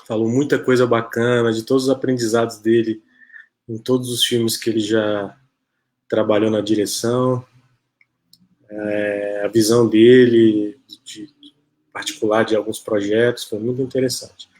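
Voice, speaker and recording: A man hosting a live online interview show, talking in a relaxed, chatty way with frequent "né?" tags. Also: fairly low pitch, normal speed. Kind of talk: casual live-stream chat.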